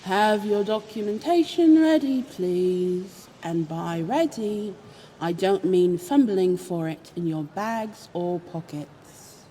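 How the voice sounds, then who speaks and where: A woman voicing a stern, impatient checkpoint official in an audio roleplay, curt, deliberate and condescending. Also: mid-range voice, mellow, slight background noise, natural voice, poor audio.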